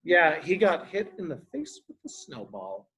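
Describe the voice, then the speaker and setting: Female children's story reader, unhurried and animated, explaining and coaxing as she goes. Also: fairly low pitch. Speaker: teacher reading a picture book to a child. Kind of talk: children's story read-aloud with questions.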